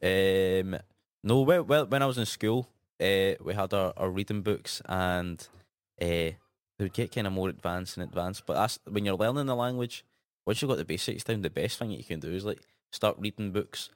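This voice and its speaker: A young Scottish man with a Gaelic background, co-hosting a casual radio chat in a relaxed, easygoing way. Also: sits low, normal speed, no room sound, clean studio sound. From Scotland. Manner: relaxed, laid-back, often tags sentences with "man". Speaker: radio co-host.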